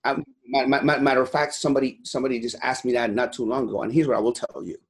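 A fired-up man in his forties, originally from Iran, talking blunt and profane as an interview guest. His voice sits at an ordinary middle pitch, and he keeps circling back with "you know" as he pushes his point hard. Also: steady tone, very fast. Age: 40s. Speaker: guest, gym owner. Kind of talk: motivational interview talk.

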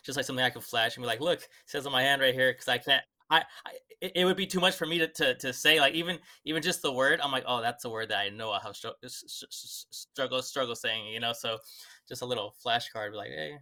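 A man's voice: medium pitch, fast, sharp tone. A man, a parent, talking casually with a heavy stutter full of repetitions. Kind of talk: casual group chat.

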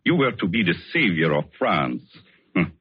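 Vengeful radio-suspense man, menacing and measured, raspy low voice, muffled vintage recording. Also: very animated, exaggerated voice. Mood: stern interrogation. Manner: deliberate, accusing, menacing. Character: vengeful, coldly controlled man with a pistol, long-waiting avenger. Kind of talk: old-time radio suspense acting.

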